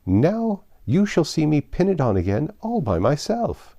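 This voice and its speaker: A man reading aloud, voicing a flustered old queen with a high, fluttering, excitable delivery. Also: unhurried, heavily acted. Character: flustered, fussy old queen, woman over a hundred.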